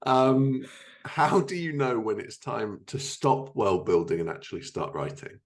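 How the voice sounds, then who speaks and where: A man's voice: medium pitch, very animated, unhurried. A man hosting a casual podcast interview, agreeable and easygoing. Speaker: podcast host.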